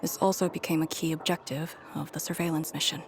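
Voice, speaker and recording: A battle-worn female commander in a game story. Her voice sits fairly low, grave and measured, with formal phrasing and a mournful weight. Music plays clearly behind her. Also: fairly even intonation. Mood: somber, wistful. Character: solemn, battle-worn woman commander mourning fallen comrades. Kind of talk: dramatic video game story dialogue.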